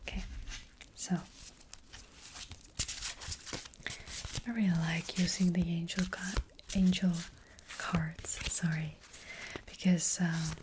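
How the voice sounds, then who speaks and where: A woman voicing a gentle witch fortune teller in a soft ASMR roleplay, whispering breathily and hesitantly, close to the microphone. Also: lowish voice, little pitch movement, noisy background, natural voice. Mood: gentle, attentive. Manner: gentle, hesitant, slow and reassuring.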